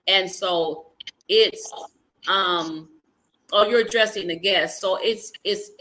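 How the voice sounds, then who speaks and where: Blunt, bright-voiced woman. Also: medium pitch, rough recording. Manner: blunt, emphatic, talks over points. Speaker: livestream host. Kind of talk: casual livestream discussion.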